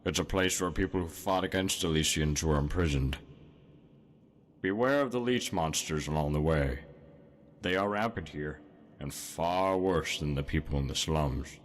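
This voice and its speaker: A man's voice: rumbling low, normal speed. A man playing a grim wasteland guide in a fantasy game, speaking in a terse, grave manner. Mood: grim, impatient. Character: grim male guide through a hostile wasteland. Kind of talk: fantasy video game voice acting.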